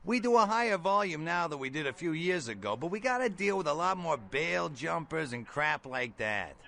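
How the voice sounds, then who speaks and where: A man playing a brash, folksy middle-aged bail bondsman in a video game, with a gravelly voice and blunt, slangy talk. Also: on the high side, sing-song, normal speed, bright, forceful tone, heavily acted. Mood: chatty. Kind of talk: video game character dialogue.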